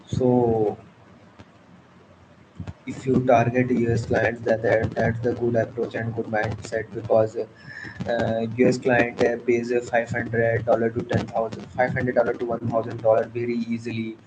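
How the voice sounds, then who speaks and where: A man from India with an Indian accent, a Hindi speaker talking in English with many pauses, fillers and restarts. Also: medium pitch, completely flat delivery, noisy background. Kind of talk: casual spoken monologue.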